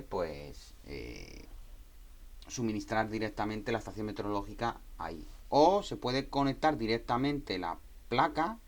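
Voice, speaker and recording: A man presents an informal technical tutorial in a fairly low voice. He explains things in a hands-on way, often repeating and rephrasing himself as he demonstrates.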